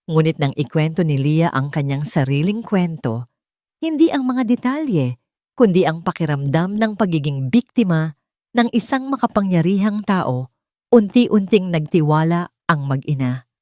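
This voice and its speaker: A woman's voice: lowish voice, darkish tone, dry recording, studio quality. A woman with a Filipino accent narrating a dramatic scripted audiobook story.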